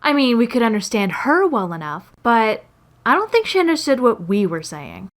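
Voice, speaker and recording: A woman's voice: on the high side, sing-song, moderate pace. A woman narrating scripted comedic stories in a wry, sarcastic, exaggerating way. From Canada. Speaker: storyteller and animator.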